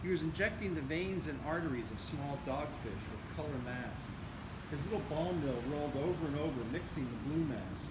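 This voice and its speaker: A man's voice: fairly high pitch, expressive, a little fast. A man reading a novel aloud as a volunteer reader, now and then stumbling over a word. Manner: occasional stumbles over words. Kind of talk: audiobook-style reading of a novel. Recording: noisy outdoor ambience with vehicles.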